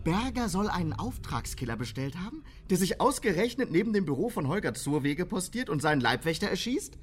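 A man playing an alarmed, pessimistic party chairman in a naturalistic radio drama, husky and agitated. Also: high voice, sing-song, fairly quick. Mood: alarmed, despairing.

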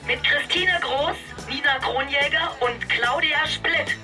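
Woman announcer for radio drama credits: high voice, scripted read, music clearly behind. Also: takes it slowly.

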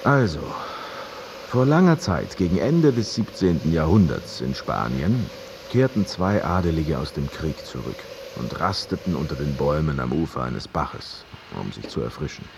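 A man's voice: a bass voice, very animated, moderate pace, vocal fry, noisy background, exaggerated voice. An actor in a radio drama playing a talkative, curious and teasing man who tells stories in a theatrical, ironic way. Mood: storytelling. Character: talkative, curious, teasing male host telling an old tale. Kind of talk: German radio drama, dialogue and storytelling.

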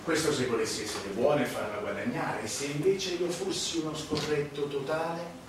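An actor playing a sinister, sleazy clergyman in a theatrical monologue, insinuating, with rhetorical questions and dramatic pauses. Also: fairly high pitch, far from the mic, lightly acted. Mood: veiled menace. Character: sinister, sleazy, menacing clergyman preaching about power and corruption. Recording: roomy, echoey stage recording from afar, noisy.

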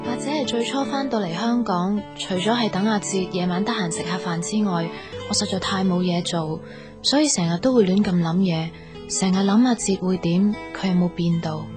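A woman's voice: mid-range voice, moderate pace, close to an everyday voice. Young female lead played by an actress, radio drama, insecure and sensitive, middle register, music underneath. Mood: pensive. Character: young woman back from America, sensitive, insecure girlfriend. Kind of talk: Cantonese radio drama acting with inner monologue.

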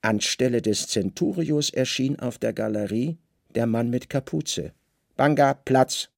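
A man's voice: medium pitch, animated, unhurried, vocal fry, no room sound, studio quality. A man narrating a literary radio drama as its storyteller.

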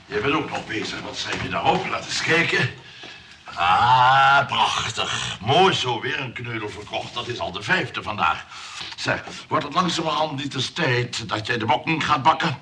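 A man's voice: mid-range voice, animated, measured pace, lightly acted. Man acting a blustering husband, swooping voice. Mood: smug, coaxing. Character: domineering, blustering husband, swinging from scolding to wheedling. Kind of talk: Dutch radio play acting. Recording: very noisy old radio recording with clicks.

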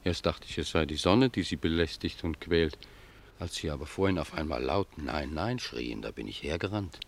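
A man's voice: very deep voice, expressive, vocal fry, a little hiss or hum, exaggerated voice. A man playing a humble, pious old gardener in a German radio drama, speaking reflectively and with deference to his superior. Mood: deferential. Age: older. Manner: reflective, deferential, unhurried phrasing.

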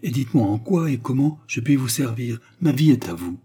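A gallant young gentleman of Russia, acted by a male reader, speaks formally and earnestly. His storybook voice is mellow and slightly rough, full of loyal ardour. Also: lowish voice, moderate pace. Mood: ardent. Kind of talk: dramatic storybook dialogue.

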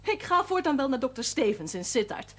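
Casting: a jealous southern wife, fairly high, husky voice, Limburg lilt. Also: female voice, big pitch swings, brisk. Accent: southern Dutch, Limburg. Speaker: doctor's patient. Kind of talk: 1970s TV drama acting.

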